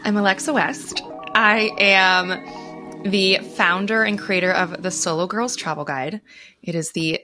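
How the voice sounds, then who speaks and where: A woman's voice: mid-range voice, fairly even intonation, measured pace, pushed and bright, soft background music. An American woman speaking as a podcast guest, telling her own story in a casual way. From United States. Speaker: podcast guest, travel guide founder.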